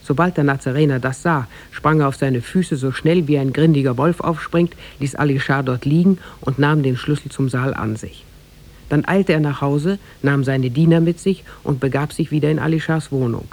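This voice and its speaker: A man narrating a tale as a formal, measured storyteller in 1950s German radio style. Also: a little high, darkish tone.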